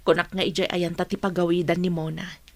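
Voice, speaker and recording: A woman's voice: lowish voice, flat delivery, fairly quick, dry recording, natural voice. A woman playing a caring, troubled working mother in radio drama dialogue.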